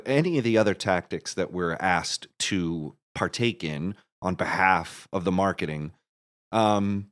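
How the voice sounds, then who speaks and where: Male podcast guest, slightly deep, conversational and thoughtful, halting explainer. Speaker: guest, composer and marketer. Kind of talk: casual interview explanation.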